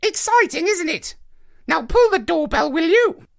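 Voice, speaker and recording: A man's voice: extremely high pitch, lively intonation, creaky, pitched above the performer's own voice, exaggerated voice. A man voicing a vain, pompous talking male parrot in a storybook reading, speaking with lofty condescension. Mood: haughty. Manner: lofty, condescending, drawn-out declarations.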